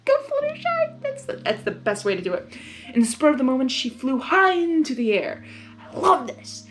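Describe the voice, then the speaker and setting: High-pitched, excitable woman reading aloud. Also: moderate pace. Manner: gushing, excitable, giggly. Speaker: host reading fan fiction aloud. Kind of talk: blind story reading with excited asides.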